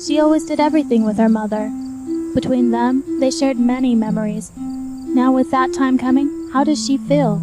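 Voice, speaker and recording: A woman playing an eerie, calm teenage girl who speaks in a measured deadpan in a radio drama. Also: high voice, loud music behind the voice, lightly acted. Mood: calm, cryptic. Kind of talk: amateur anime-style radio drama acting.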